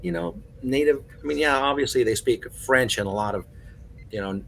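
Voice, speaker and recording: A man in casual podcast conversation, telling stories in an animated way with plenty of conversational fillers. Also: medium pitch, normal speed, gravelly, poor audio. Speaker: podcast panelist. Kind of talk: casual chat.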